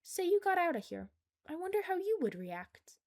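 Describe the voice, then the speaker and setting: A woman's voice: very high voice, very animated, moderate pace, voice pushed up, heavily acted. A woman plays a young male analyst in a story. He is earnest and candid, with an inquisitive way of talking. The delivery is that of audiobook dialogue. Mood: teasing.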